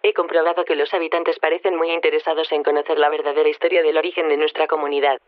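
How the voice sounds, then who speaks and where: Self-satisfied female AI, precise, literal, quick delivery, dull filtered sound. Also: low voice, flat delivery, dry recording. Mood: polite, matter-of-fact. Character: polite, self-satisfied female artificial intelligence storyteller. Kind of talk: fictional radio interview. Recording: dull, dry band-limited sound.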